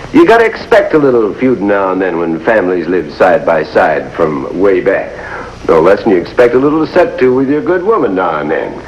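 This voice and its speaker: An actor playing a glib, folksy country defense counsel with a rural American accent and an oratorical, drawn-out delivery. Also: male voice, medium pitch, lightly acted. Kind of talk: 1950s television drama acting. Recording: faintly noisy old TV soundtrack.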